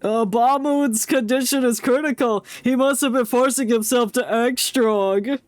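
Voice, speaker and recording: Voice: medium pitch, a little slow, dry recording. A streamer giving casual live game commentary in a playful, blunt, reactive way.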